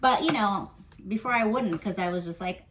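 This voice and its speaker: A woman's voice: medium pitch, normal speed, a bit bright, a little hiss or hum, low-quality recording. A woman giving a casual weekly tarot and astrology reading. She speaks candidly, rambles, leans on fillers and swears offhandedly.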